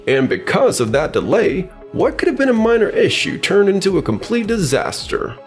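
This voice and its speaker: A man's voice: high-pitched, sing-song, normal speed, faint music behind. A man playing a stern, accusing client in a manga dub, complaining in a formal manner. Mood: angry. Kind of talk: expressive manga dub voice acting.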